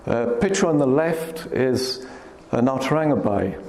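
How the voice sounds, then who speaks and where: This is a man with a quiet, gentle voice, giving a talk in a hall. His tone is mellow and his words ring in a roomy space. A faint hum sits behind him. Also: medium pitch, lively intonation, measured pace. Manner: gentle, pointing out places on slides. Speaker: guest speaker, wildlife photographer. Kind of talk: public talk with slides. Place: hall at a club meeting. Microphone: speaker's microphone. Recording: echoey hall, faint room noise.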